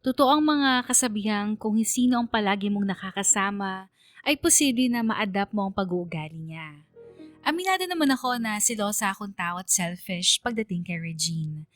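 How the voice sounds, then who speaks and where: A woman's voice: mid-range voice, lively intonation, normal speed, fairly bright tone, dry recording, soft background music, lightly acted. A woman playing a hurt, sentimental young letter writer, narrating earnestly and plaintively in a radio drama. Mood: hurt, wistful.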